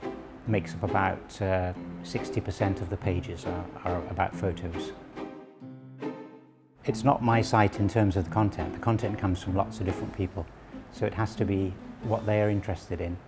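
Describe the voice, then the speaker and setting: A man around sixty, originally from Wales, calmly explaining local history and his website as an interview guest, with small restarts. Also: low voice, moderate pace, over loud music. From southwest Wales. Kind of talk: relaxed conversational explanation.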